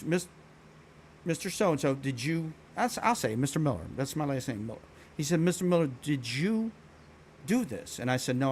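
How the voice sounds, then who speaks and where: A man's voice: on the high side, slight background noise. Blunt, profane Philly man rambling. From Philadelphia. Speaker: live streamer. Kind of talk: casual storytelling chat to stream viewers.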